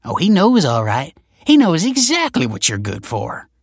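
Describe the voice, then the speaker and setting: Man putting on a loud, brash, belligerent foster mother. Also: a little high, huge pitch swings, normal speed, slightly rough, no echo, clean studio sound, voice pushed up, exaggerated voice. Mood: scornful. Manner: snappish, scornful, folksy slangy speech.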